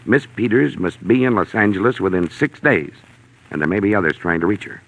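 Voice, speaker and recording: A man's voice: lowish voice, expressive, fairly quick, fairly bright tone, low-quality recording. An actor playing an anxious, formal man hiring help, speaking carefully and insistently in the manner of 1940s radio drama. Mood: urgent. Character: anxious, formal male client seeking a missing girl. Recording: vintage 1940s radio recording.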